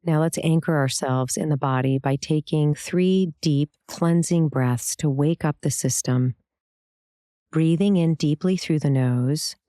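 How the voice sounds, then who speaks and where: A woman leading a guided meditation, giving calm, gentle, soothing instructions with pauses between phrases. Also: sits low, no echo, studio quality. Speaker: meditation guide, yoga teacher.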